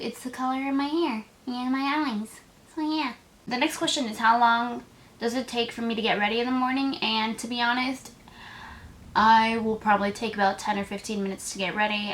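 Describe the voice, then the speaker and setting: A woman's voice: on the high side, fairly bright tone. An eighteen-year-old woman chatting to camera in a casual vlog, gushing and full of "like" fillers. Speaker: vlogger. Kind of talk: casual tag video chat to camera.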